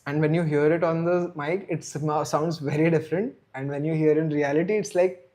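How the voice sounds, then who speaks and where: A man's voice: fairly high pitch, steady tone, brisk, a little mellow. A man, chatting casually on a live stream, rambling and answering viewers' chat. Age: 30s. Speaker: livestream host.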